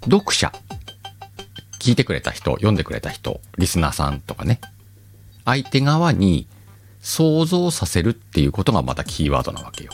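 Deep-voiced man, lively rambling host. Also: soft background music. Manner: rambling, self-mocking, drawn-out pauses. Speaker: podcast host. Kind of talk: casual solo chat.

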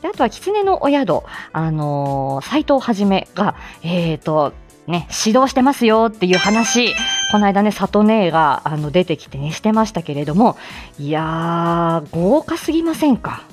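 A woman's voice: fairly low pitch, big pitch swings, measured pace, faint music behind. A woman hosting a casual morning livestream, chatting in a giggly, exclaiming way. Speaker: live audio show host.

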